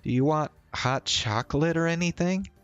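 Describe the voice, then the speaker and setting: Guy doing a friendly teasing girl from a visual novel, voice lifted up, lilting, soft music behind. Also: a little high, moderate pace, smooth, no room sound, muffled recording, voice pushed up, lightly acted. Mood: caring. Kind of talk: visual novel read-aloud.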